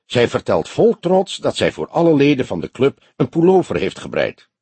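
A man's voice: medium pitch, wildly swooping pitch, normal speed, a little mellow, husky, no room sound, voice pushed up, heavily acted. A man voicing a younger detective sidekick in an audiobook, earnest and unsure, with a peevish, questioning manner.

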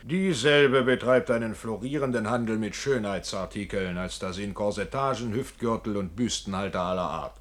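A man's voice: fairly low pitch, normal speed, hardly any pauses, close to an everyday voice. An actor playing a ceremonious ballad showman in a radio play, presenting in a formal, declamatory manner. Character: ceremonious male fairground ballad showman presenting picture boards.

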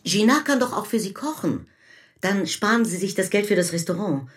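A hard-nosed mother played by a woman talks in a middle-pitched voice. She is businesslike and pushy, laying down terms in the manner of a radio drama. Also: lively intonation, normal speed, close to an everyday voice. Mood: brisk, bossy. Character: brisk, bossy, hard-nosed mother arranging her daughter's affairs. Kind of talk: radio play acting.

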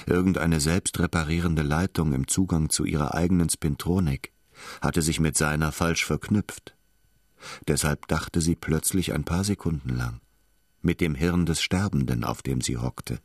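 A man's voice: very deep voice, moderate pace, darkish tone, dry recording. A man delivering scripted literary narration as the narrator of a radio drama. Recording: studio voice over music.

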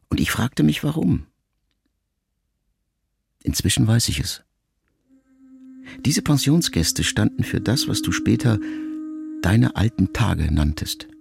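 A middle-aged actor playing a reflective grown son who addresses his dying mother in a measured, intimate radio-play monologue, with a gravelly voice. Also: on the low side, dry recording, heavily acted. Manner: measured, introspective, intimate storytelling. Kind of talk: literary first-person monologue in a radio play. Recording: music under the voice. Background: music.

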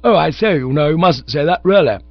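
A man voicing a silly, excitable young upper-class English gentleman in a storybook reading, plummy and wordy. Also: medium pitch, quick pace, creaky, husky, dry recording, dull audio, voice pushed up. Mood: indignant. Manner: plummy, indignant or breezy, wordy.

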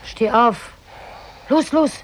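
A woman's voice: fairly high pitch, slow pace. An actress playing an urging, hopeful mother in an old German radio play, coaxing and insistent. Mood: urgent. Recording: old radio play tape, faint hiss.

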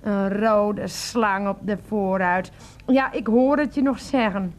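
An actress in a Dutch radio play voicing a doting, fussy older aunt who coos and reminisces. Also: female voice, mid-range voice, fairly even intonation, takes it slowly, natural voice. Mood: fondly reminiscing. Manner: cooing, reminiscing.

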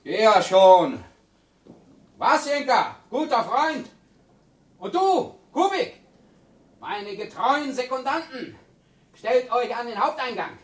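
A man's voice: very high voice, sing-song, takes it slowly, pauses often, exaggerated voice. A man playing a rowdy, boastful, quarrelsome neighbour in a radio play, blustering with mock formality. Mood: swaggering. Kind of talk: German radio play acting. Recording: echoey room, distant from microphone.

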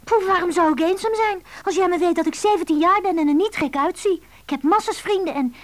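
Lonely schoolgirl of seventeen, high voice, moody and confiding, radio play phone calls. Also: fairly quick, no echo. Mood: defiant, excited. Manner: impulsive, confiding, swinging between defiant and tender.

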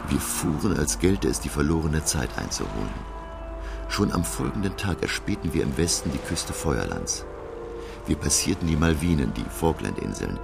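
Learned male professor, radio play storyteller, very low, gravelly, measured, dramatic, music behind. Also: sing-song, exaggerated voice. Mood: grave, tense. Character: male professor narrating his perilous undersea voyage, grave and learned. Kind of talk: German radio play narration. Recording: music under the voice.

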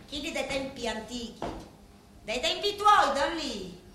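An actress voicing a proud, darkly tender woman of a crime family, in a theatrical monologue with a Sicilian accent and deliberate, broken phrasing. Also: high-pitched, sing-song, pushed and bright, full character voice. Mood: intimate, brooding. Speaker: stage actress. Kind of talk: theatrical monologue in Italian mixed with Sicilian dialect. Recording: echoey room with faint noise.

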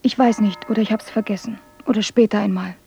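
An actress playing a stubborn, passionate young foster daughter in a radio play, talking intensely and pleading. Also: female voice, medium pitch, fairly even intonation, quick pace, creaky, warm tone, slight background noise, natural voice. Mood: tender, wistful. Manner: intense, pleading, sometimes whispering. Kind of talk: radio drama acting.

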